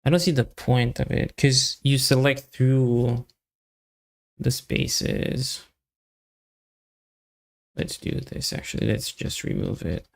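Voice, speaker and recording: A man doing casual live coding commentary as a developer, thinking aloud and muttering to himself in short, halting fragments. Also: mid-range voice, vocal fry. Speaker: software developer livestreaming.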